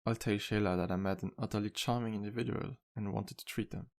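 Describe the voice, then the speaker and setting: Sweet, courteous male vampire barkeep played by a man for ASMR roleplay: deepish, heavy vocal fry, intimate and sincere. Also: fairly even intonation, fast talker. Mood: tender, flirting. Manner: soft-spoken, polite, earnest, flirtatious. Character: charming, sweet male vampire bartender, earnest and wistful. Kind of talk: ASMR roleplay, intimate one-sided dialogue to listener.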